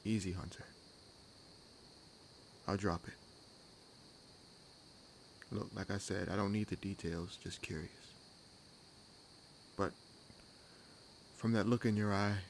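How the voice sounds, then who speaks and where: A man playing a wry, world-weary vampire hunter in an intimate audio roleplay, teasing and sardonic, with unhurried pauses. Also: deep voice, steady tone, gravelly, a little hiss or hum, heavily acted, rough recording. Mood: guarded, probing. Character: wry, world-weary one-armed male vampire hunting his own kind. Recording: nature ambience laid under voice. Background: forest ambience with crickets and insects.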